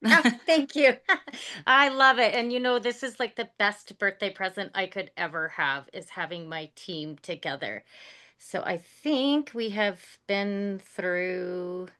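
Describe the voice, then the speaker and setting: A woman's voice: mid-range voice, animated, pushed and bright. A woman leading her team on a video call, upbeat and encouraging, talking casually over a video call mic. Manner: upbeat, encouraging, quick asides.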